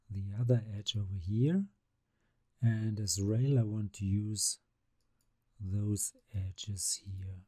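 A man, narrating a screen-recorded software tutorial step by step, calmly describing each click. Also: on the low side, slightly rough, no room sound. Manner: unhurried, step-by-step narration of mouse actions. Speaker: CAD software instructor.

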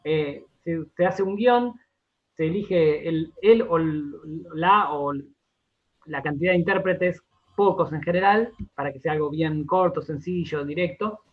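A man's voice: fairly high pitch, unhurried, phone-quality sound. An Argentine man explaining things as an interview guest, repeating words and often checking in with short tag questions. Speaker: radio drama director, interview guest.

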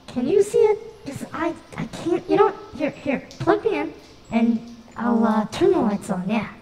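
A woman's voice: high voice, lively intonation, normal speed, dark tone, slight background noise. A woman voicing a nervous, bumbling robot sphere in an amateur game fan dub, stammering and rambling. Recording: echoey amateur mic, music underneath.

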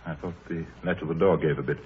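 Deep-voiced, troubled country gentleman. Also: steady tone, fast. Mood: fearful, grave. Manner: grave, hesitant, formal. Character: troubled, anxious English country gentleman confiding in a friend. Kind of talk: 1930s radio drama acting. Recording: muffled, noisy 1930s radio recording.

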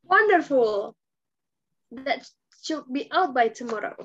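Woman as a high-voiced, polite interviewer. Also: animated, takes it slowly, fairly bright tone, full character voice. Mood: admiring. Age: young adult. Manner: polite, deferential. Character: polite, admiring female interviewer. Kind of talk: amateur dramatic reading. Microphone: video call. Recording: dull video-call sound.

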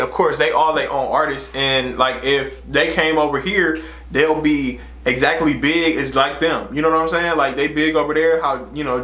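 A man's voice: fairly high pitch, fairly bright tone, echoey room. A man in his twenties with an American accent chatting casually as a reaction host, slangy and often repeating his phrases. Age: 20s. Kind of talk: casual music reaction chat. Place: small room indoors.

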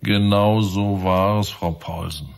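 An actor playing a relentless, sarcastic police inspector probing suspects in a radio crime drama. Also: male voice, low voice, fairly even intonation, slow, gravelly, clear, resonant tone, full character voice. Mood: sardonic, accusing.

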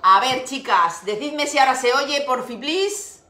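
A woman's voice: high-pitched, animated, measured pace, background noise. A middle-aged Spanish woman hosting a casual craft livestream from home, chatting and laughing as she greets viewers by name. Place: home room with window. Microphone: device camera on desk. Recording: echoey room.

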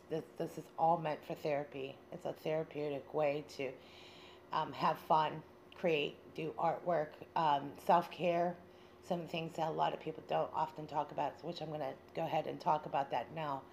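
Low, creaky-voiced woman radio host, halting. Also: fairly even intonation, a little mellow, a little hiss or hum. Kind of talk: informal spoken radio monologue.

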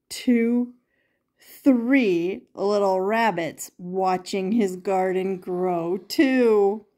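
Slow-paced older woman reading to children. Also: a little high. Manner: expressive, emphatic, repeats phrases for little listeners. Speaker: grandmother reading picture books to children. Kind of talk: read-aloud storytelling for young children, with interactive questions.

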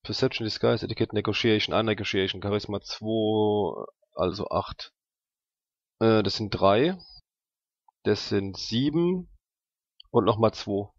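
A man with a fairly low voice talks casually as a tabletop gamer. The recording is dry and slightly muffled, with no room sound. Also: a little slow, long pauses, dry recording. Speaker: tabletop role-playing player. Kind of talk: casual table chat, muttering through rules and numbers.

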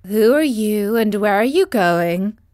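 A woman voicing a kindly, helpful mother stork in a storybook, with a sharp, partly whispered delivery. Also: mid-range voice, lively intonation, takes it slowly, dry recording, studio quality. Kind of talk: storybook character voice.

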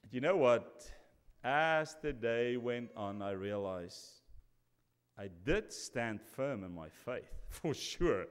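A man's voice: medium pitch, lively intonation, slow, smooth. A man in his forties preaching from a church pulpit, telling a story and acting out both sides of the conversation. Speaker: pastor. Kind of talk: sermon. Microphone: stand mic at pulpit. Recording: long reverb of a church hall.

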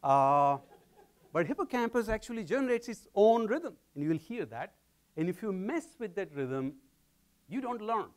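A middle-aged man with an Indian accent lectures to a packed hall, his voice sitting a little high for a man. His pitch rises and falls in a very lively way as he moves about the stage, talking into a lapel mic. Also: normal speed. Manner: animated, gesturing while pacing the stage. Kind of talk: public science lecture. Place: large lecture hall with audience.

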